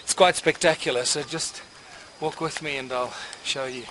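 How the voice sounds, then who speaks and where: A man's voice: fairly high pitch, steady tone, moderate pace, noisy background. A man presenting an informal outdoor guided tour in an enthusiastic, marvelling manner. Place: outdoors at a rocky outcrop. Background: music.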